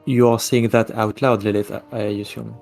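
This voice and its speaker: A man acting as game master, halting and restarting as he guides an online roleplay. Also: mid-range voice, level tone, vocal fry, darkish tone, soft background music. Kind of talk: running an online roleplay session.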